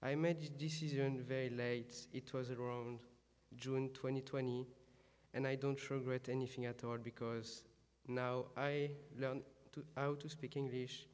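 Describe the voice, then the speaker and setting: A man's voice: mid-range voice, little pitch movement, unhurried, darkish tone, clear, resonant tone. A young man with a French accent, an eighteen-year-old exchange student reading an earnest graduation speech at a podium microphone. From France. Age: young adult. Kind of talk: prepared ceremony speech. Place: large school gym. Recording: echoey gym reverb.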